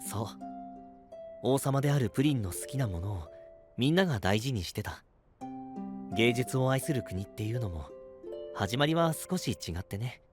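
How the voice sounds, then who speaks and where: A male actor playing a gentle, responsible young knight in an audio drama, soft-spoken and earnest. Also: mid-range voice, expressive, normal speed, pauses often, music in the background, close to an everyday voice. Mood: calm, reflective. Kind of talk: Japanese anime-style audio drama acting.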